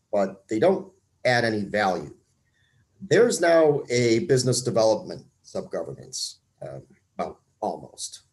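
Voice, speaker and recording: A man with a fairly low voice hosts an online meetup over a video call. He talks in a loose, casual way, joking at his own expense and filling pauses with ums and uhs. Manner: relaxed, self-deprecating, many fillers. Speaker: meetup host.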